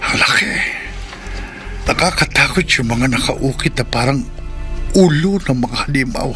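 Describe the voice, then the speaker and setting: A man's voice: medium pitch, a little slow, over music, natural voice. An actor playing a frightened male taxi driver in a horror radio drama, stammering and talking to himself. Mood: uneasy, wary. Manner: stammering, breathless, self-narrating.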